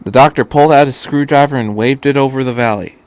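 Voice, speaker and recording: Casting: male amateur narrator, mid-range voice, informal, stammering slightly, drifts from reading into chatty commentary. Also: medium pitch. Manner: halting, stammering, repeats himself. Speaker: amateur fan fiction reader. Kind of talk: story reading with casual rambling asides.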